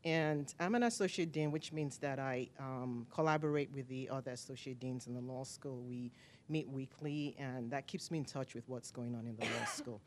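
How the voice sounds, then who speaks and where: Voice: mid-range voice, normal speed, slight background noise. A senior lecturer and associate dean giving an earnest short self-introduction, enthusiastic about teaching.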